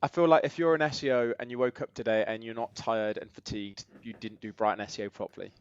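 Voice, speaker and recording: A man with a slightly croaky voice, chatting in a relaxed, easygoing way as a podcast guest. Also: mid-range voice, fast talker, dry recording, muffled recording. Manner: relaxed, easygoing, frequent ums. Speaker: guest, technical SEO lead. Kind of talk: casual interview chat.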